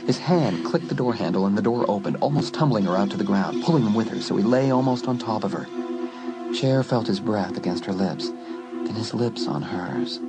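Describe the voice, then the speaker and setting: Male narrator, low voice, scripted horror storytelling over loud music. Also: animated, normal speed, poor audio. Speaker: audiobook narrator.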